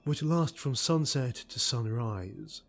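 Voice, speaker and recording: A secretive, cloaked male elf retainer , as a man reading an audiobook voices him, close to the narrator's own husky voice. He answers in a reluctant, terse way. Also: medium pitch, expressive, takes it slowly.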